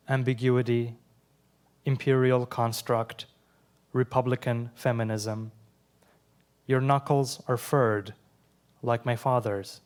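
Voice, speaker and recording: A young adult man from Iran, whose first language is Persian, reads his poems aloud in a measured way, with quiet asides between them. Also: mid-range voice, smooth. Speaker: poet. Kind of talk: live poetry reading.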